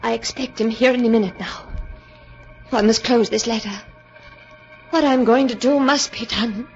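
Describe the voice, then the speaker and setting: A high-pitched, emotional young heroine of vintage radio drama, acted by a woman, torn between love and hate. Her delivery is intense and theatrical. The old recording is muffled, with music about it. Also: fairly even intonation, moderate pace, close to an everyday voice. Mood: anguished, resolute. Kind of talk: 1940s radio melodrama acting.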